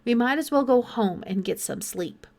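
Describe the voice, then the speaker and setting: Woman playing a gentle, worried male doctor. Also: a little high, expressive, normal speed, creaky. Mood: resigned. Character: kindly, worried male doctor reassuring a child. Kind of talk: children's audiobook character voice.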